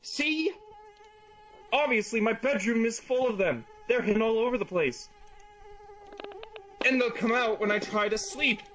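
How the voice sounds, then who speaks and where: A man reading a comic aloud to friends in an online game session, with playful, deadpan narration. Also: very high voice, unhurried, long pauses, slight background noise, low-quality recording. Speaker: comic reader.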